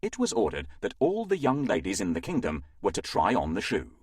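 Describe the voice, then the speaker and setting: Husky, highly animated male storyteller. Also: brisk, no room sound, clean studio sound. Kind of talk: scripted children's fairytale narration.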